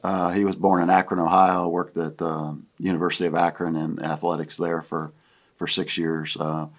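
A man in his sixties, from Pennsylvania, talking as a guest in a halting, reflective way with many fillers. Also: sits low, level tone. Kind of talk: casual conversation.

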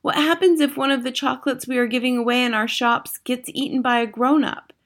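Woman voicing a high, theatrical witch underling. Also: fairly even intonation, a little fast. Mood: fawning. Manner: exclamatory, theatrical. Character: clamouring witches of the audience, fawning underlings. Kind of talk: audiobook character acting.

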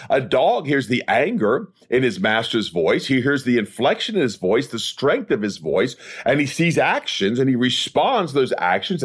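A man's voice: mid-range voice, husky. A man preaching an expository sermon as an evangelist, emphatic, with repeated rhetorical phrases. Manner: emphatic, repetitive rhetorical cadence. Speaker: evangelist preacher, podcast host.

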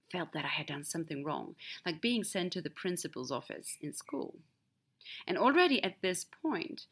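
A woman's voice: on the low side, fairly bright tone. A woman whose first language is Swedish hosts a podcast, telling a personal story in a candid, reflective solo monologue. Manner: candid, storytelling, wide swings in emphasis. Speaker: podcast host.

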